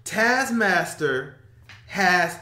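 A young man hosting a casual movie reaction chat, excitable and animated, sometimes trailing off into low mumbles, recorded on a webcam in a small room. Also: extremely slow, reverberant. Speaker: reaction video host. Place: small room indoors, seated.

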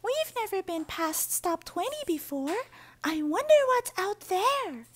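A woman's voice: very high-pitched, sing-song, a little slow, a bit bright, exaggerated voice. A woman voicing a curious childlike block explorer. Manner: wondering, eager, sing-song.

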